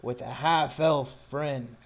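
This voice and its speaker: A man's voice: medium pitch, fairly even intonation. A man playing a cruel, taunting boss of a town's guards in a tabletop roleplaying game. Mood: menacing. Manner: slow, taunting, threatening delivery. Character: menacing, cruel enforcer boss of a guarded town. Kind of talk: tabletop roleplay villain dialogue.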